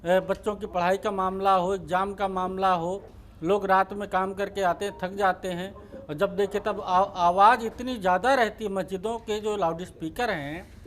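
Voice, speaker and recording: Man, high voice, insistent debate panelist, repetitive, background noise. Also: fairly even intonation, moderate pace, a bit bright. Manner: insistent, repeats himself. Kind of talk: argumentative TV debate. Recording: clearly noisy live feed.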